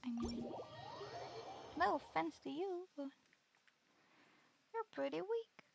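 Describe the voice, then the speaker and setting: A woman's voice: extremely high pitch, expressive, slow pace, creaky, slight background noise. A woman streaming a video game, chatting casually and talking back to the characters on screen. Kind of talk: casual gameplay commentary. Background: game music.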